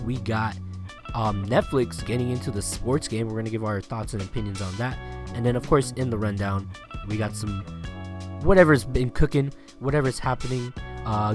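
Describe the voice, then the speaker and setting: A man hosting a casual sports podcast, rambling and laughing often, with a stuffy nose and a lingering cough. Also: fairly low pitch. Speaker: podcast host. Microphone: remote call recording.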